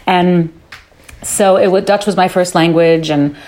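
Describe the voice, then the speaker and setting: Woman guest with a lowish voice, chatty, rambles and restarts her thoughts. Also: fairly even intonation, a little slow, darkish tone. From born in London, raised in New York City. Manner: chatty, thinks aloud with restarts. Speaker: guest singer. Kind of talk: casual interview chat.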